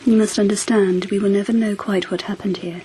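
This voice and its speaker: An actress playing a regal, reflective empress in a radio drama, stately and measured in manner. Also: female voice, mid-range voice, steady tone, dark tone, slight background noise, natural voice, low-quality recording. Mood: wistful, musing. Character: regal, reflective empress of 10th century Japan.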